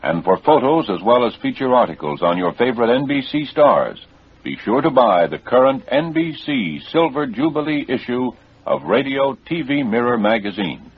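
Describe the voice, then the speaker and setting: A man reading a 1950s radio network promo as announcer, with a husky voice. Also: medium pitch, very animated, normal speed, slight background noise. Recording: dull vintage radio recording.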